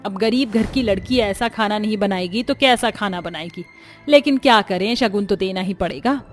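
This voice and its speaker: A woman voicing a scolding, scornful middle-aged mother-in-law, bossy and sharp-tongued, in a family story. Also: mid-range voice, moderate pace, faint music behind, close to an everyday voice. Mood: grudging.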